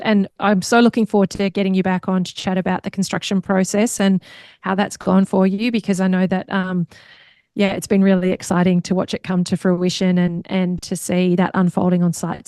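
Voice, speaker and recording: A woman's voice: medium pitch, a little mellow, dry recording, studio quality. A woman with an Australian accent hosting an interview podcast in a conversational, encouraging way. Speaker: podcast host.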